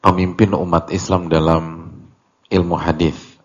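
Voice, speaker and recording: A man giving an Islamic religious lecture as a teacher, speaking deliberately in short phrases with pauses, on a muffled recording. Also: deep voice, level tone, slightly rough. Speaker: Islamic teacher leading a religious study class. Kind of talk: religious lecture explaining a classical text.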